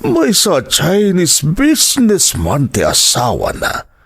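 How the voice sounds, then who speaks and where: A man's voice: fairly high pitch, huge pitch swings, slow, creaky, a bit bright, breathy edge, full character voice. A man playing a fatherly older boss in an audio drama, encouraging and coaxing, with a Filipino accent. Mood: persuasive. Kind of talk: Ilocano audio drama acting.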